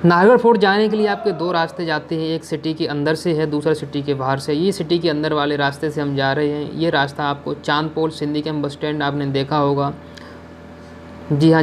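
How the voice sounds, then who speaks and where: A man's voice: on the high side, slight background noise. A young man narrating a travel vlog outdoors on a hill road, chatting to viewers and giving safety advice. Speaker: travel vlogger.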